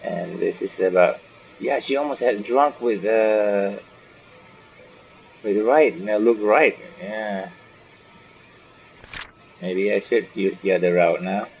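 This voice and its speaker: Low creaky-voiced man, gaming commentary. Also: slow pace, pauses often. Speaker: gaming video host. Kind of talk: casual playthrough commentary. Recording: dull lo-fi mic with faint noise.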